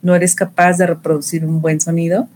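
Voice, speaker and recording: A speaker with a Mexico City accent talks casually about a film, often leaning on fillers. The voice is mid-pitched, and there is faint background noise behind it. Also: little pitch movement, a little fast, darkish tone. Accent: Mexico City Spanish. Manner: chatty, uses fillers like este. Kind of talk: casual film review chat.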